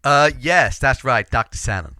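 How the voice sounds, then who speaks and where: A man's voice: mid-range voice, very animated, moderate pace, sharp tone, no echo. A man playing a brazen, casual doctor in improvised comedy, rambling and hesitant as he butts in. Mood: puzzled, boastful. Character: brazen, casual male doctor in a robot suit. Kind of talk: improvised comedy audio drama.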